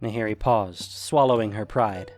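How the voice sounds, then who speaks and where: A man's voice: on the low side, moderate pace, a little mellow, soft background music. A man narrating a scripted fantasy story as its storyteller.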